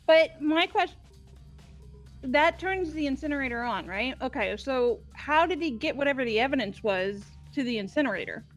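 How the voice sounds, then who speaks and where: A woman's voice: high-pitched, animated, normal speed. A woman chatting casually as a player in a group game playthrough. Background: faint music.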